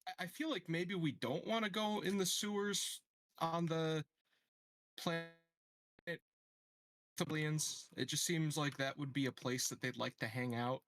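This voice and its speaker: A man's voice: a little high, measured pace, pauses often, a bit bright. A man playing a tabletop game, chatting casually and putting his point in a hesitant, tentative way. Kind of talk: casual chat.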